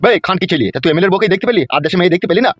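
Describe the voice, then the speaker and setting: A man plays a coarse, scolding middle-aged father in a comic village cartoon. He fires off lines very quickly at a high pitch. The sound is a little dull, over faint music. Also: no room sound. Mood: exasperated questioning. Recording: dull, muffled cartoon audio.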